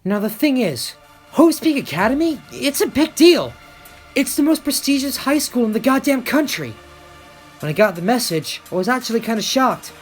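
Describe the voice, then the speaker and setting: An earnest, protective teenage boy hero acted out by an amateur for anime game voice lines. The delivery is emphatic and dramatic, with pitch that moves around a lot. Faint music plays underneath. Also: sing-song, moderate pace, husky. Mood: modest, conversational.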